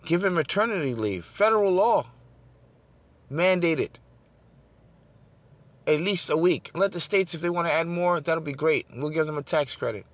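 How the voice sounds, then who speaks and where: A man's voice: a little high, lively intonation, normal speed, pauses often, highs cut off. A man giving an emphatic political talk to his online audience, repeating his points and calling listeners "guys". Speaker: political speaker on a broadcast.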